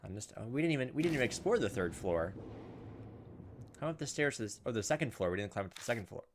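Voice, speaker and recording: A man with a mid-pitched voice hosts a gameplay video. He talks informally and thinks aloud, poking fun at himself and reading on-screen narration as he goes. Noise and game ambience sit behind his voice. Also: sing-song, quick pace. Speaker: gaming video host. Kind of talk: casual game commentary mixed with reading on-screen text aloud.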